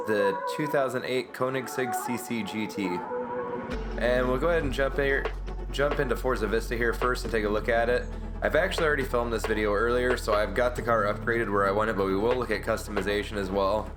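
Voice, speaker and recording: A man's voice: mid-range voice, a little fast. A man with a sore, fading voice giving casual gameplay commentary as a YouTuber, rambling through car details. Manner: relaxed, rambling car-enthusiast explanations. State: sore throat, voice going in and out. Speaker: gaming YouTuber. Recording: loud music behind voice.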